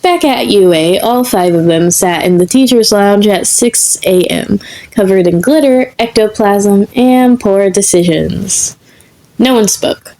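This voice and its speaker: A woman reading comic fan fiction as scripted narration, in a measured, deliberate manner. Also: medium pitch, dark tone. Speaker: podfic reader.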